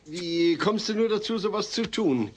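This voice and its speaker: A man's voice: sits high, animated, moderate pace. An actor playing an earnest, middle-aged family father, hesitant, formal and long-suffering, in a sitcom audio play. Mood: awkward, earnest. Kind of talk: German-dubbed sitcom audio play acting. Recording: noisy old cassette audio play.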